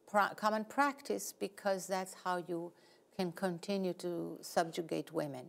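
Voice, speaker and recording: A middle-aged woman with an Israeli accent, a novelist explaining history in a measured, articulate way as a studio guest. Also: medium pitch. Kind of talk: explanatory interview answers. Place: TV studio set.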